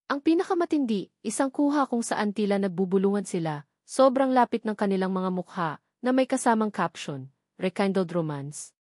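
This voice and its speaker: A woman's voice: medium pitch, animated, fairly quick, a little mellow, no echo, studio quality. Filipino woman narrating a dramatic story.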